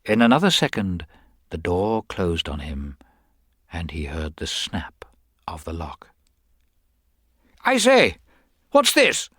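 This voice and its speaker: A man giving a dramatic, expressive narration of a crime story. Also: low-pitched, unhurried, husky, dry recording, clean studio sound.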